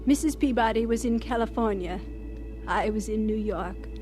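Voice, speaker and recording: High-voiced earnest missionary woman played by an actress. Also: darkish tone, soft background music, close to an everyday voice. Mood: earnest reflective. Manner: earnest, measured, with deliberate pauses. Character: Ida Scudder, earnest devout woman missionary doctor telling her story.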